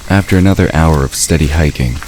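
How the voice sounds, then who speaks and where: A man's voice: very deep voice, quick pace, darkish tone, a little hiss or hum. A man narrating a scripted fantasy story for an audio drama.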